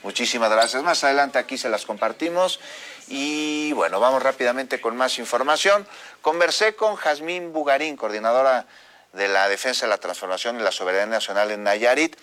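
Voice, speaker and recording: A middle-aged man with a Mexican accent hosting a morning news radio show in a lively, chatty way. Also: mid-range voice, fairly quick. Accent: Mexican Spanish. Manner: lively, chatty, reads listener messages.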